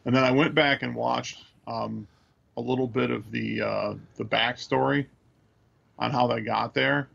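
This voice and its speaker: An American man co-hosting a podcast over a dull remote connection, chatting casually and analytically, halting as he thinks aloud. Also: medium pitch, fairly even intonation, creaky, fairly bright tone. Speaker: podcast co-host. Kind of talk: casual chat, measured analysis.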